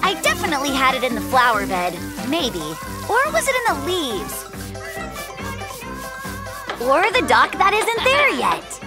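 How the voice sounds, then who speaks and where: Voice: sits high, huge pitch swings, unhurried, long pauses, heavily acted. An actor voicing a laid-back, crafty young male bear cub in a children's cartoon, with a casual, coaxing drawl. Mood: coaxing, sly. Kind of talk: children's animated cartoon voice acting. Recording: music playing behind the voice.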